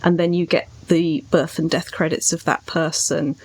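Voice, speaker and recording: A woman's voice: low-pitched, level tone, moderate pace. A woman in a casual podcast discussion, talking in an enthusiastic and playful way. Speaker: podcast host.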